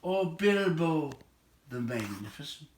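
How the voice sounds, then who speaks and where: A man's voice: on the high side, sing-song, slow, reverberant, a little hiss or hum, voice pushed up. A man voicing a stately, gracious elven king from a storybook in a grave, ceremonious manner. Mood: grave, amused. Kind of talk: storybook character voice.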